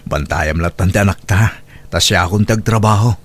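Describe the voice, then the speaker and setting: A man's voice: sits low, moderate pace. A man with an Ilocano accent playing a humble, anxious young single father in a radio drama, hesitant and earnest.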